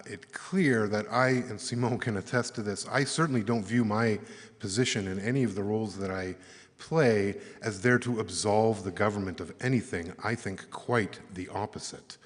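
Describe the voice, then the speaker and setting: Man with a low voice in an echoing hall. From Canada. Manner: earnest, halting, many fillers and restarts. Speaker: arts council chair giving closing remarks. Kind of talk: closing remarks at a summit. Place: large conference hall. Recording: echoey hall with long reverb.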